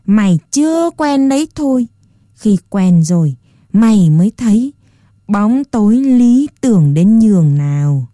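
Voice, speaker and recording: A woman voicing a smug, swaggering male rat who mocks and tempts in a low, sly voice. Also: very animated, unhurried, warm tone, dry recording, clean studio sound. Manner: mocking, chuckling, boastful.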